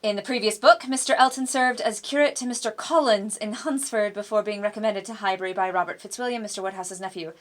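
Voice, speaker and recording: A woman's voice: mid-range voice, steady tone, quick pace, hardly any pauses. A woman audiobook narrator talking through character voices as she works them out. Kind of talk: demonstrating character voices, thinking aloud.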